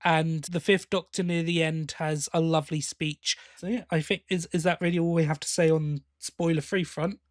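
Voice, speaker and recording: A man co-hosting a podcast, chatting casually through reviews and tentatively softening his opinions as he goes. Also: on the high side, steady tone, moderate pace, hardly any pauses, no room sound, studio quality.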